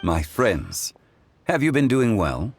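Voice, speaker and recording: An actor playing a calm, ancient male consultant who speaks in a formal, measured and courteous way, in a video game. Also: on the low side, over loud music, exaggerated voice. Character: ancient, composed male consultant of refined taste.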